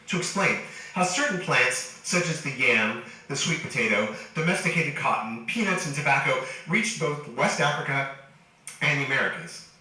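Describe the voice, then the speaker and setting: A man giving a lecture to an audience, animated and joking, with a nagging cough. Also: on the high side. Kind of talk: public lecture. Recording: echoey hall from far off.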